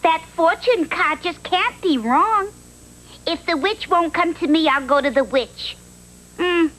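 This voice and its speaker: A woman's voice: very high voice, measured pace, a bit bright, poor audio. A woman voicing a lovelorn, impatient fairy tale maiden in a comic cartoon, gushing and bossy by turns. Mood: fretful. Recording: old TV cartoon soundtrack with music.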